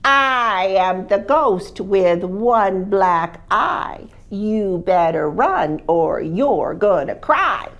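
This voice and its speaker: A woman storyteller voicing a spooky male ghost who chants his lines slowly and drawn out. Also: medium pitch, lively intonation, fairly bright tone, dull audio, heavily acted. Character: spooky slow-talking male ghost in the cellar.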